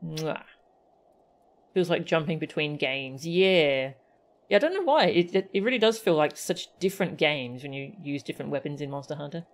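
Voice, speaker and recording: A woman's voice: low-pitched, sing-song, moderate pace. A woman streaming a game with casual, playful, chatty live commentary. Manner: playful, chatty, goofy sound effects. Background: faint game music.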